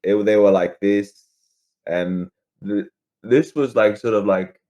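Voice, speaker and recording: A man's voice: fairly low pitch, slow, warm tone. A man co-hosting a podcast in a slangy, informal, chatty way. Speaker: podcast co-host. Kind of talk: casual chat.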